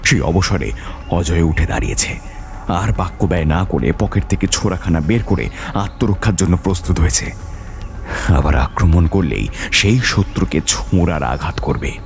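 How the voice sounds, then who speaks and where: A man's voice: low-pitched, expressive, moderate pace, vocal fry. A man narrating an adventure story as a scripted, dramatic audio tale.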